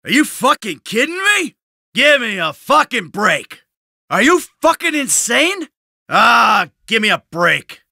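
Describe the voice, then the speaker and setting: A man's voice: extremely high pitch, sing-song, unhurried, vocal fry, bright, forceful tone, dry recording, studio quality, exaggerated voice. A man playing a cynical, short-tempered middle-aged American criminal in a video game, with a blunt, sardonic tough-guy delivery. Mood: angry, urgent.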